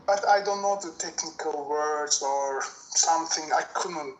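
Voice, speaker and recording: A man, talking haltingly in a casual conversation and often searching for words. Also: a little high. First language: Azerbaijani. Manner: hesitant, many fillers, searching for words. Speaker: guest. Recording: echoey room.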